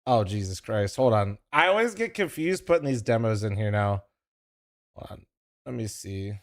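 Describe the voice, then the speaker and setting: A man's voice: on the low side, sing-song, moderate pace. A young man streaming live, chatting casually with his viewers and swearing as he teases a friend. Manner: profane, teasing, rambling. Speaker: streamer co-streaming an esports match. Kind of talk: casual livestream chat.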